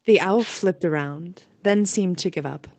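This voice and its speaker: A woman's voice: lowish voice, unhurried, darkish tone, a little hiss or hum. A woman narrating a scripted fantasy audio story in a storytelling voice.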